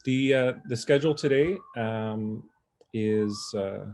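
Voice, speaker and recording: Man, low voice, event co-host, halting and earnest delivery, video call. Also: a little mellow. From North Vancouver, Canada. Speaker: symposium co-host. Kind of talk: informal opening remarks to a group.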